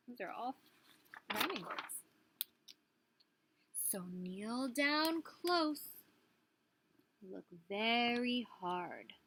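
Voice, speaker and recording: A woman's voice: a little high, sharp tone, slight background noise. A woman, a preschool teacher, reading a picture book aloud to young children and prompting them with questions.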